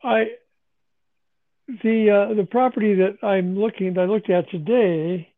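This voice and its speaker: Hoarse man on a tinny line. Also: high voice. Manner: halting, many restarts and fillers. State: hoarse, losing his voice. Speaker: podcast host. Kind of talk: casual chat. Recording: phone-quality call line.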